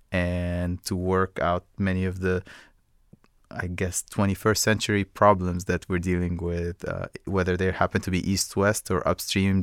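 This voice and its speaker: A middle-aged man from Egypt, a guest in an interview, answering in a thoughtful, measured way with many fillers. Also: deep voice, a bit bright, clean tone, no room sound, clean studio sound. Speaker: guest, music project co-founder.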